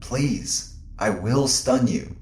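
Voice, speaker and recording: A cool, hard-edged female officer played by an actress in a sci-fi audio drama. She is clipped and severe, exceptionally deep for a woman, with noticeable room echo and faint noise. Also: animated, very slow, darkish tone, full character voice. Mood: stern warning. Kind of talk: scripted audio drama acting.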